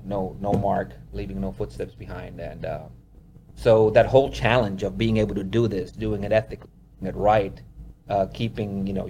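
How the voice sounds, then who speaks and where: Low-voiced man telling stories, saying "you know". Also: mellow, a little hiss or hum. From Honduras. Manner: rambling, leans on "you know", laughs often while telling stories. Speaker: wildlife photographer being interviewed. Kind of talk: casual interview storytelling.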